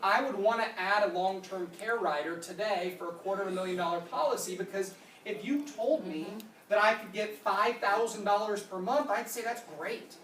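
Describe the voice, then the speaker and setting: A trainer in their thirties gives a product training talk to a room and answers questions with quick yeses and nopes. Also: mid-range voice, a bit bright. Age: 30s. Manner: explanatory, answers questions with quick "nope" and "yep". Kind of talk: training presentation with Q&A. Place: conference room. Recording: roomy, distant mic with faint noise.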